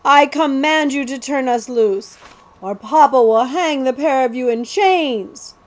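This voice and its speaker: A woman's voice: a little high, lively intonation, a little slow, darkish tone. A woman voicing a haughty, bratty young prince in a storybook, snapping out imperious orders. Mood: indignant.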